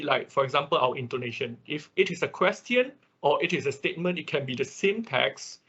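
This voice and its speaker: Husky male online instructor, dull audio. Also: mid-range voice, a bit bright. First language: not English. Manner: halting, repetitive explaining with frequent check words. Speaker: instructor of an online robotics class. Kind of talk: informal explanatory lecture. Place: online class call.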